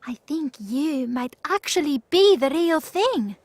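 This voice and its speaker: A woman playing a gentle, earnest young goddess in an audio drama, speaking in halting, simple phrases. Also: high voice, lively intonation, no room sound. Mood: soft, reflective. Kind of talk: acted audio drama dialogue.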